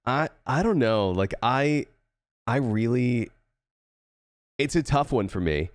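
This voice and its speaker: A man's voice: medium pitch, lively intonation, slow pace, no echo. A man with a somewhat nasal voice, co-hosting a casual podcast and telling stories in a rambling, self-deprecating way. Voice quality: a bit nasal. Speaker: podcast co-host and game content creator.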